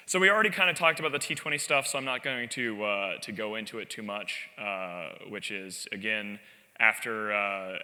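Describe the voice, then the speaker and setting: A man giving a live conference talk, telling stories in an animated, wry way with self-deprecating asides, speaking from a stage in a large hall. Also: on the low side. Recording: long reverb of a large hall.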